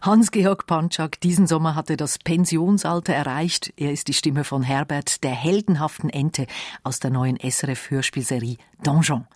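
A man's voice: on the high side, fairly even intonation, moderate pace. A man interviewing a guest on the radio, asking questions and giving short links.

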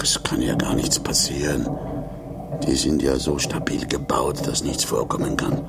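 An actor in a 1950s German radio play, playing an anxious, grumbling man who talks to himself with nervous self-reassurance in a gravelly, breathy voice. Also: very deep voice, heavy background noise, full character voice. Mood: nervous, self-reassuring. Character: anxious, grumbling man alone in a rickety lift.

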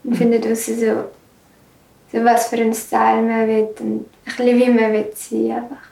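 High-pitched teenage Swiss German girl, roomy. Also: completely flat delivery, moderate pace, gravelly, warm tone, distant mic. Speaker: interviewee. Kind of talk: casual reflective interview talk.

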